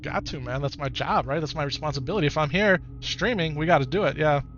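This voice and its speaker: A man's voice: fairly high pitch, fast talker, dull audio, background noise. A man with an Upper Midwest American accent, chatting casually to his viewers during a live game stream and often repeating himself. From Wisconsin, United States. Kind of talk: casual live-stream chat over gameplay.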